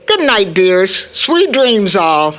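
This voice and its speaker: A woman's voice: mid-range voice, very animated, slow, a bit bright. An actress playing a worried, tender middle-aged mother in an audio drama.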